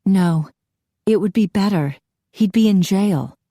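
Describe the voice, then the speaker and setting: A woman's voice: mid-range voice, slow pace, warm tone, no room sound, clean studio sound. A woman voicing a young female police officer who speaks firmly and urgently. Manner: firm, urgent, commanding.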